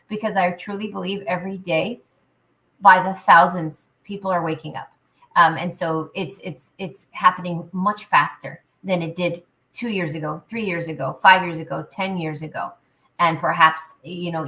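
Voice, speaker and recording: A woman guest on a radio show talking about spiritual awakening, energetic with lots of ums and restarts. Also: fairly low pitch, rough recording. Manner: energetic, many fillers and restarts. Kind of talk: interview answers, spiritual talk.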